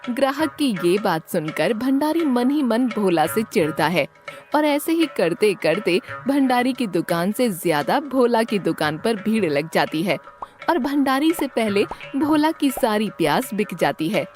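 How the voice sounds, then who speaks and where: A woman's voice: a little high, sing-song, a little slow, a little mellow, faint music behind. A woman narrator reading a scripted children's story.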